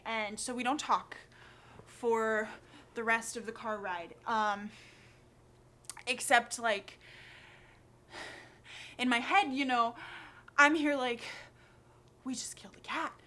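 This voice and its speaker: A woman acting a monologue as an anxious, guilt-ridden young woman who confesses in a halting, rambling, neurotic way, full of fillers. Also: on the high side, fairly bright tone. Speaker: actor. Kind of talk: acted confessional monologue, conversational. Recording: clearly noisy background ambience.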